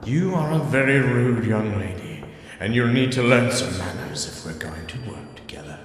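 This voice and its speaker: A man's voice: medium pitch, animated, moderate pace, close to an everyday voice. A man playing a sadistic, genteel serial killer spirit in a horror audio drama, taunting and menacing with theatrical relish. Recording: echoey cavernous chamber with clear noise.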